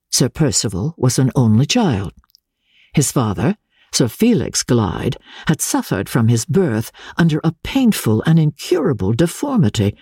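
A woman with a low, husky voice gives a dramatic reading of classic literature, with deliberate phrasing and formal diction. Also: dark tone, no room sound, clean studio sound. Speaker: audiobook narrator and radio reader.

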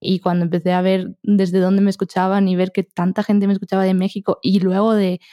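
Mellow-voiced woman from Spain. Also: mid-range voice, flat delivery, brisk, no echo, studio quality. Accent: Spanish. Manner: soft-spoken, laughs often, frequent tag questions. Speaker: podcast guest, meditation podcaster. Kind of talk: casual interview chat.

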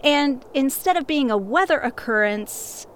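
High-voiced, cocky science nerd. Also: female voice, animated, a little slow, slight background noise. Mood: explanatory. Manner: blunt, know-it-all. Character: cocky, nerdy explainer in a diner crew. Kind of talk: comedy audio drama acting.

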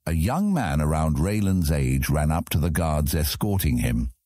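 A man's voice: a bass voice, sing-song, normal speed, warm tone, no echo, studio quality. A man reading scripted fantasy narration as an audiobook narrator.

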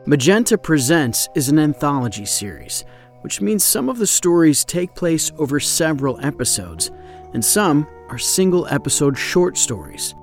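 Animated man hosting a podcast promo. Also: fairly high pitch, moderate pace, soft background music. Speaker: podcast host. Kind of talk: scripted promotional narration.

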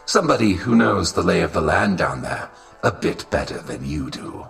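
An actor playing a cold, courteous robot royal advisor who speaks formally and with condescension. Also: male voice, low voice, very animated, moderate pace, creaky, fairly bright tone, reverberant, far from the mic, soft background music, heavily acted. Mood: menacing. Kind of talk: scripted audio drama acting.

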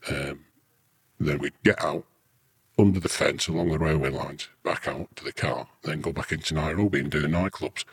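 A man's voice: very deep voice, breathy edge. A British man, middle-aged or older, an army veteran telling rambling, earthy anecdotes casually in an interview. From United Kingdom.

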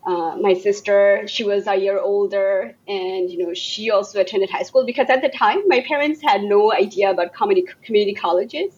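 A woman in her thirties, a podcast guest, speaks at a measured pace and keeps padding her answers with fillers and restarts. Her voice comes through a slightly dull, muffled recording. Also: medium pitch. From Bangladesh. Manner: hesitant, many fillers and restarts. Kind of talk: casual podcast interview.

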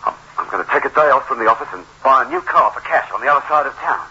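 A frantic, guilty husband in a 1960s radio thriller, very sharp and forceful, his pitch leaping about as he stammers defensively. The old radio recording is dull, with faint noise. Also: expressive, racing, husky, exaggerated voice. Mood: agitated. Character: frantic, guilty husband fleeing a fatal road accident. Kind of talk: 1960s radio suspense drama acting.

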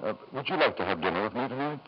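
An actor playing a powerful middle-aged tycoon in a radio drama, with halting, smooth-talking insistence. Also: male voice, mid-range voice, animated, natural voice. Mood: hesitant charm. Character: powerful, self-satisfied middle-aged British tycoon, hesitant in charm. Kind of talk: 1950s radio drama acting. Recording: dull, noisy 1950s radio recording.

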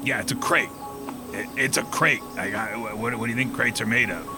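A man's voice: medium pitch, fairly quick, over music, lightly acted. A man playing a cocky, dim, shady slacker who rambles casually and repeats himself in a comedic audio drama. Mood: defensive.

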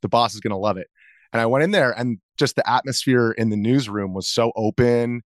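A man's voice: medium pitch, fairly quick, no room sound, studio quality. A man in his thirties speaking as an interview guest, answering casually in a hesitant, rambling way. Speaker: interview guest, video journalist.

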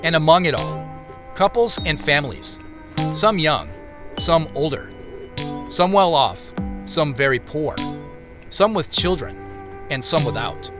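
Wistful, higher-pitched, expressive narrator. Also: male voice, a little slow, long pauses. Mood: wistful, reflective. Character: wistful, imaginative male narrator picturing the past. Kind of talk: reflective first-person audio drama narration. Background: music.

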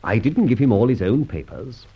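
An actor playing a suave, teasing gentleman adventurer in an old radio serial, pompous and flippant with mock courtesy. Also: mid-range voice, animated, moderate pace, husky. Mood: smug, explaining. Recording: dull old radio recording.

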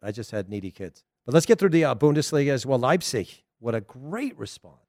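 A middle-aged man with a Southern American accent, hosting a casual soccer talk show, chatty and animated with frequent laughs. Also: medium pitch, a little fast, no room sound, studio quality. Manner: chatty, animated, laughs often, loose restarts. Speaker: soccer podcast host. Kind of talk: casual solo commentary.

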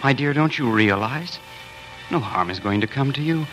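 An actor playing a gallant, tender young king in a 1940s radio fairy tale, courtly and gently coaxing. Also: mid-range voice, animated, normal speed, lightly acted, poor audio. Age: young adult as played. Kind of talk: 1940s radio drama acting. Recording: vintage 1940s radio, faint music behind.

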